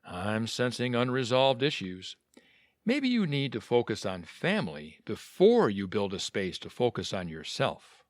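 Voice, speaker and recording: A man's voice: mid-range voice, huge pitch swings, a little slow, no room sound. A man acting a flirty, teasing jock in a comedic audio drama, full of probing questions. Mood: frank, concerned. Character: flirty, teasing jock, the wife's secret admirer.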